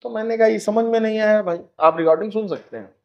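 A man's voice: high voice, fast, gravelly, lo-fi sound. A man gives an informal update as a channel host, conversationally checking that listeners follow. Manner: conversational, repeats tag phrases to check understanding. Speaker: coaching channel host, education counsellor. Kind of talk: informal admission update to camera.

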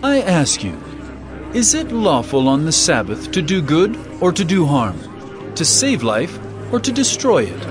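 Earnest bible teacher preaching, higher male voice, really expressive and emphatic, music behind. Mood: challenging, firm. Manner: solemn, emphatic, measured preaching. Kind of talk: dramatized audio Bible acting.

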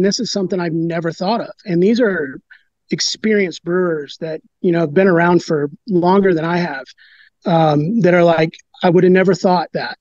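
A man in his thirties explains things in a casual, halting way, full of fillers and restarts. His voice sits fairly high for a man. The sound is dry and a bit dull, like a webcam call. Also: darkish tone, no room sound. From United States. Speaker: brewer, interview guest. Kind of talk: casual interview talk.

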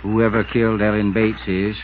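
A man playing a shrewd, calm old small-town constable with a laconic rural American drawl in a radio drama. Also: fairly low pitch, normal speed, close to an everyday voice. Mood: wary. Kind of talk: 1950s radio drama acting. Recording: dull, noisy old radio recording.

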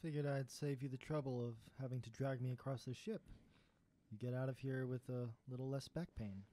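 A young adult actor playing an earnest, heartbroken character with halting speech in an audio drama. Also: mid-range voice, steady tone, dark tone, natural voice. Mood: resigned. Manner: hesitant, earnest, halting confession. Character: heartbroken, lovesick young adult secretly turning into a beast.

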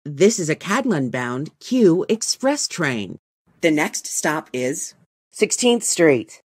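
A woman reading scripted subway announcements in a formal, measured way, in segmented phrases. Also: low voice, sing-song, slightly rough.

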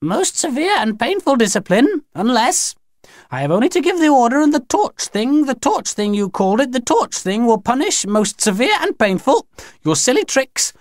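A man voicing an imperious high-pitched old lady machine. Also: very animated, moderate pace, dry recording, clean studio sound. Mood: imperious, scolding. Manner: stilted, imperious, oddly phrased. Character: alien machine posing as stern old lady. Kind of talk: audiobook character voice.

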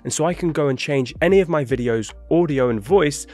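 A man in his thirties explaining software to viewers in a tutorial, speaking into a close studio microphone indoors. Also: on the high side, a little fast, soft background music. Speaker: tutorial presenter. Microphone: close studio microphone on a boom arm.

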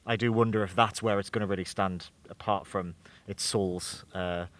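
A man's voice: low voice, steady tone, normal speed, fairly bright tone. A young man giving casual, musing game commentary as an interviewer, speaking informally into a handheld microphone. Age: young adult. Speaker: interviewer and games journalist. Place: small indoor room at a games convention.

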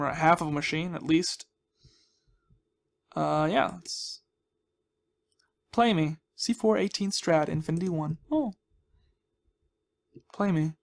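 Young male game host, slightly high voice with vocal fry, casual, playful, muttering his reactions. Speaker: gaming host. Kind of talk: casual Let's Play commentary.

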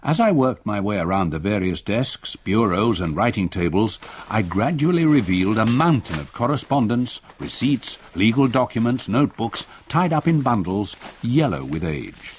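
An older man with an English accent, an actor playing a grave retired solicitor narrating his haunted memories in a radio drama, measured and reflective. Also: lowish voice, sing-song, a little hiss or hum. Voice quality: husky. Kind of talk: literary first-person radio drama narration.

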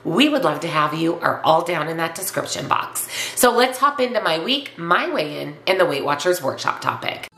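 A woman's voice: sits low, big pitch swings, moderate pace, hardly any pauses, reverberant. A woman in her forties with a stuffy, congested voice from a cold, chatting upbeat to camera in a home office. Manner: chatty and upbeat, repeats herself. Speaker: weight loss vlogger. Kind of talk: casual vlog to camera.

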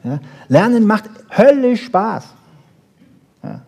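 A man giving a lively popular science lecture, emphatic, with rhetorical questions and short punchy asides. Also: high voice, slow, creaky, husky. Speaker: lecturer on brain research.

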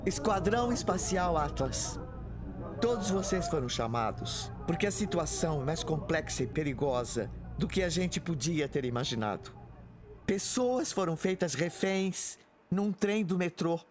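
An actor playing a grave, authoritative space squadron commander in an audio drama. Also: male voice, fairly high pitch, expressive, measured pace, loud music behind the voice. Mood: grave, commanding.

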